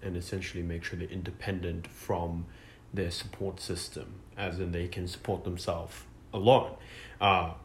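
A man's voice: low voice, level tone, normal speed, a little hiss or hum. A man in his twenties hosting a casual podcast chat, talking with many fillers and restarts. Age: 20s. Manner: hesitant, lots of fillers and restarts. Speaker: podcast host.

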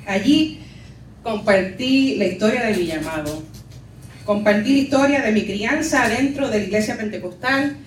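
A woman pastor from Puerto Rico, raised in New York, with a Nuyorican Spanish accent, giving an earnest and measured conference talk. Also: medium pitch, animated, slight background noise. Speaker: pastor and lecturer. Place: conference hall. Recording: echoey hall, distant mic, faint music.

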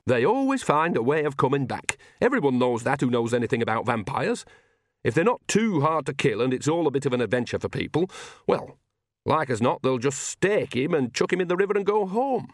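A man's voice: medium pitch, sing-song, brisk, no echo, clean studio sound, voice pushed up, natural voice. A man voicing a shrewd, blunt, earthy old country witch in an audiobook, with folksy, colloquial talk. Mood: shrewd, knowing.

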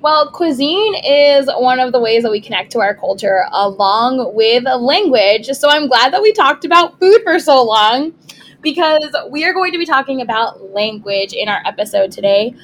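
Young woman host, easygoing, fairly high voice, faint hiss. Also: moderate pace. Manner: relaxed, laughs, "um" fillers. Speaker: podcast host. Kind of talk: casual chat.

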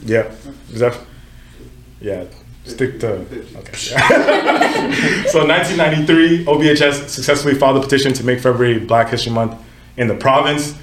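A man's voice: mid-range voice, expressive, normal speed, fairly bright tone. Young guy hosting a chill group podcast, keeps correcting himself, echoey room. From Nigeria. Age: 20s. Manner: conversational, self-correcting, lots of "you know what I'm saying". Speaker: podcast host. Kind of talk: casual group podcast chat.

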